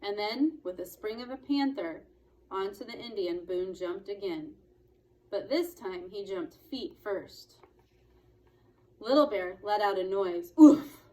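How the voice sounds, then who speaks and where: A woman narrating a children's book expressively, with deliberate, dramatic phrasing. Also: mid-range voice, a little mellow, slight background noise, low-quality recording. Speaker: read-aloud storyteller.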